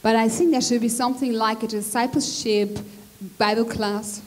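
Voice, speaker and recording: A woman interpreting a sermon into another language line by line, in a steady, plain manner. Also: a little high, normal speed. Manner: steady, plain, follows the speaker closely.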